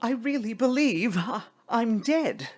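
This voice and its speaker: A woman voicing a fussy, bewildered, stammering gentleman ghost in a storybook reading. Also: fairly high pitch, animated, takes it slowly, soft background music, voice pushed up. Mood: puzzled, reasoning. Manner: stammering, flustered, prone to exclaim. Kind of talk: theatrical storybook character voice.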